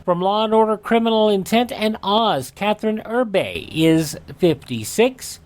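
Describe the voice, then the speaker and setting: Lively American male radio host. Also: sits high, a little slow. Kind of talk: presenting a this-day-in-history segment.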